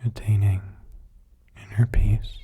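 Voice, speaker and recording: Male ASMR meditation guide: low, dark, hushed and breathy, soothing, halting phrases. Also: flat delivery. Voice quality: breathy, hushed, mostly whispered. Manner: slow, soothing delivery with short halting phrases.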